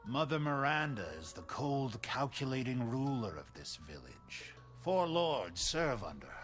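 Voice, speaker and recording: An actor playing a sly, theatrical merchant in a video game, delivering ominous exposition. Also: male voice, medium pitch, very animated, a little slow, fairly bright tone, faint music behind.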